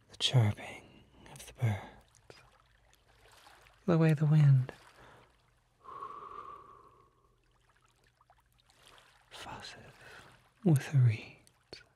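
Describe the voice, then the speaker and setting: Casting: man to play a seductive kelpie for ASMR roleplay, very husky half-whisper, mellow, coaxing and sly. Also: mid-range voice, no room sound, exaggerated voice. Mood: soothing, musing. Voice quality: soft, breathy, often whispered. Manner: coaxing, flattering, falsely modest, teasing. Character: charming, unsettling male kelpie, smooth-talking seductive lurer. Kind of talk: ASMR roleplay, intimate one-sided acted dialogue. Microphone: close microphone.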